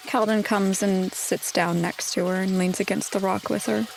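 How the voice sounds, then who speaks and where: A woman's voice: mid-range voice, steady tone, moderate pace, creaky, slight background noise, low-quality recording. A woman talking casually as a player in a tabletop roleplay game.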